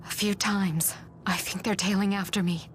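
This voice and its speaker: An actress playing an earnest, wary village woman who speaks politely in a hushed way in a video game drama. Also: mid-range voice, fairly even intonation, normal speed, a little hiss or hum, natural voice. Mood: anxious.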